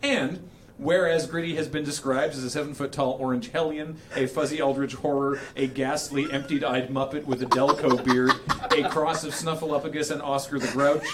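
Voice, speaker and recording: A man's voice: medium pitch, steady tone, moderate pace. A man reading a formal resolution aloud in a mock-solemn style, amused and prone to cracking up. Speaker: charity livestream host reading aloud. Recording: noisy room with clicking.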